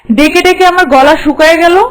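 A woman's voice: very high voice, a little fast. A woman voicing a haughty, bossy, hard-hearted mistress of the house in a Bengali cartoon, with a nagging, contemptuous manner. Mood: scolding, bossy.

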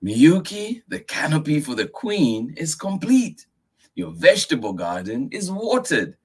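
A man voicing a patient, gentle old grandfather who keeps coaxing a child to bed. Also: a little high, very animated, unhurried, breathy edge, voice pushed up, heavily acted. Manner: patient, repeating himself gently.

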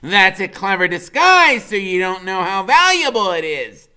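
A man's voice: sits high, big pitch swings, normal speed, pushed and bright, clear, resonant tone, pitched above the performer's own voice, full character voice. A man voicing a small, imaginative boy who talks in grand, old-fashioned knightly phrases. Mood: grandiose.

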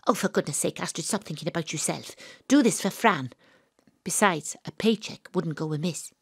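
Wry theatrical private detective. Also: female voice, lowish voice, moderate pace, creaky, husky, no room sound, studio quality, full character voice. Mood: reflective, wry. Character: sympathetic, self-mocking female private detective narrator. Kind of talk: first-person audio drama narration and dialogue.

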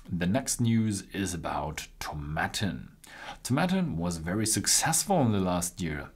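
A man in his thirties presenting news in an informal, somewhat halting way, with casual commentary. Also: low voice.

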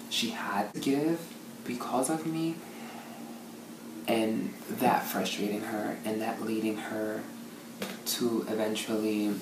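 A woman's voice: rumbling low, background noise. A young woman speaking earnestly and reflectively in a documentary interview. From born in Maryland, raised in Puerto Rico and Brooklyn. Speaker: interviewee, artist and poet. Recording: roomy sound with music underneath.

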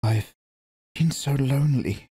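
A man reading aloud and voicing a proud young mother who quietly holds back tears, with a strained manner. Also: medium pitch, slow pace, husky, pitched above the performer's own voice.

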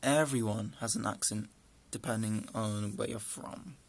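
A man's voice: lowish voice, steady tone, unhurried. A young man with a northern English accent, chatting casually through a list of questions into a close webcam in a small room, rambling with dry asides. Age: young adult. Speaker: vlogger.

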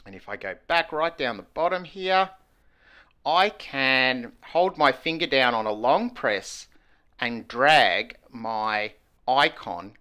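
A man's voice: medium pitch, lively intonation, slow, pushed and bright. A man, explaining a screen tutorial step by step in an upbeat way, recorded indoors on a wired earphone mic. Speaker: educational technology presenter. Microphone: wired earphone headset mic.

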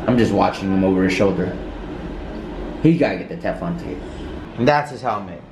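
A man's voice: low voice, expressive, fairly quick, background noise. A man in his thirties chatting casually and playfully as a family vlogger, recorded on a handheld camera. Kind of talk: casual handheld vlog chat. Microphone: phone or small camera at arm's length. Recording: loud music behind, roomy home video sound.

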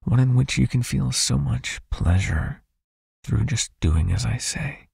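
Man acting as a gravelly, breathy vampire hypnotist. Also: medium pitch, lively intonation, dry recording, studio quality, exaggerated voice. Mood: soothing, commanding. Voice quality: raspy, breathy, creaky. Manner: slow, hushed, coaxing. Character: possessive soothing male vampire hypnotist, controlling yet caring. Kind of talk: ASMR hypnosis roleplay.

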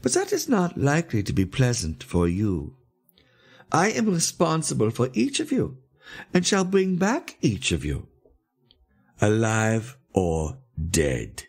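Narrator as stern male tour leader, storybook reading, patronizing, grim, widely varied pitch, husky. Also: wildly swooping pitch, measured pace, a little mellow, exaggerated voice. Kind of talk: dramatised literary reading.

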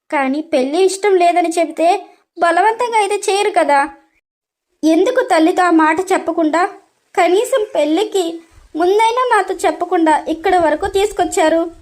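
A wounded young daughter-in-law from a storytelling drama, acted out by a woman. Her voice is very high and pleading. She sounds timid, yet indignant at how she is treated. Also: normal speed, a little mellow. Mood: anguished. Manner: pleading, tearful questioning.

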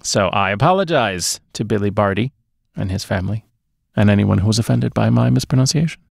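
Guy hosting a movie podcast, lots of vocal fry, dry close mic, chatting casually. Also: on the low side, brisk, no room sound. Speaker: podcast host. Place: small dark home studio, indoors. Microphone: close podcast microphone on boom arm.

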